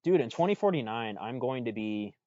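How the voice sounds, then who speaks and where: A man's voice: medium pitch, animated, brisk, dark tone, no room sound. A man in his mid-twenties co-hosting a podcast, talking in a laid-back way, saying "dude" a lot and laughing easily. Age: 20s. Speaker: podcast co-host. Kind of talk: casual chat. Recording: dull lo-fi podcast audio, dry.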